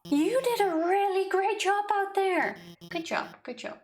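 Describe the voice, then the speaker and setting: A woman playing a high-voiced, nervous young scientist. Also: animated, measured pace. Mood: shy, earnest. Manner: stammering, hesitant, earnest. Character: shy, nervous, self-doubting young female scientist guiding a player. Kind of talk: video game character voice-over.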